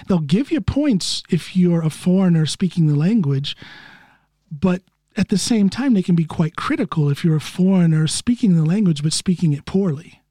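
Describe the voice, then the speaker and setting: Man, podcast host, high voice, dry close sound, chatty, laughs a lot. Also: mellow, no room sound. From United States. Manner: chatty, laughs often, self-deprecating. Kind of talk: casual conversational interview.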